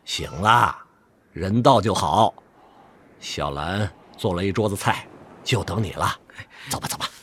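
Army officer in a radio drama, low voice, really animated, big-brother bossy. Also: male voice, a little slow, pauses often, slight background noise. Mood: teasing, hearty. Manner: expressive, emphatic, brotherly. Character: hearty older army officer, brotherly yet stern male friend. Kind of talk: Chinese radio drama acting.

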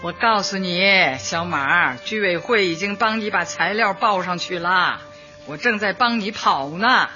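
A kindly old woman who runs a neighbourhood committee in a radio play. She is brisk and reassuring, taking charge like a mother. The sound is a little dull, with faint music behind. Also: fairly low pitch, expressive, sharp tone. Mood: firm, reassuring. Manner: hearty, reassuring, motherly, decisive. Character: elderly kindly, brisk woman community Party secretary. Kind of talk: radio drama acting.

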